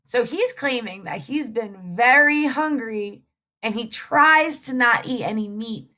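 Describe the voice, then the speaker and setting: A woman's voice: on the high side, animated, unhurried, sharp tone. A woman reading a picture book aloud as a storyteller, explaining things simply for young children.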